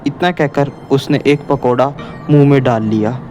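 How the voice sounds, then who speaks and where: A man's voice: mid-range voice, fairly even intonation, normal speed, a little mellow, dull audio, soft background music. A man narrating a scripted horror story as a storyteller.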